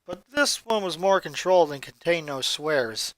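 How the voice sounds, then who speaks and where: A woman's voice: low-pitched, lively intonation, normal speed, no echo, low-quality recording. Woman narrating in a dry recording. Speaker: story narrator. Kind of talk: scripted fan fiction narration.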